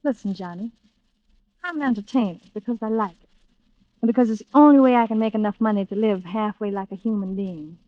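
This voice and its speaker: An actress playing a guarded, tough nightclub singer in an old radio thriller, with terse, unsentimental delivery. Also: female voice, mid-range voice, brisk, no echo, close to an everyday voice. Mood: defiant. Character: cool, guarded, hard-bitten nightclub singer, a woman. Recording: dull, lo-fi vintage radio recording.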